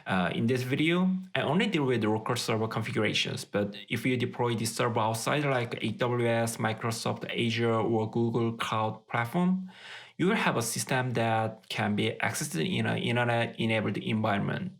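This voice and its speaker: Creaky-voiced man explaining tech. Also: mid-range voice, normal speed. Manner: methodical, step-by-step. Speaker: tech tutorial presenter. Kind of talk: explanatory tutorial narration.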